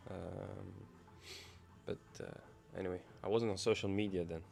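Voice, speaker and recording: Laid-back young man, low voice. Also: fairly even intonation, measured pace, creaky, a little mellow. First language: Dutch. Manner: casual, loose, musing half to himself. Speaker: trading livestreamer. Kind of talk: live-stream commentary, thinking aloud.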